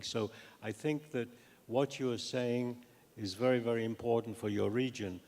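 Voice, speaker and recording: An older man speaks slowly and deliberately, with a gravelly creak in his lowish voice. He halts as he builds his academic points, and a long hall reverb trails behind him. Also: darkish tone. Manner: measured, halting, deliberate. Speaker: professor giving a talk and taking questions. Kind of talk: academic lecture and answers to audience questions.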